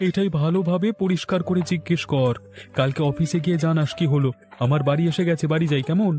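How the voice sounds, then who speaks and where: A man's voice: on the high side, fast talker, mellow, soft background music, close to an everyday voice. A man playing a supportive young friend and colleague in a cartoon, advising in an insistent, persuasive way. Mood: earnest, persuasive. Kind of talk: Bengali family cartoon acting.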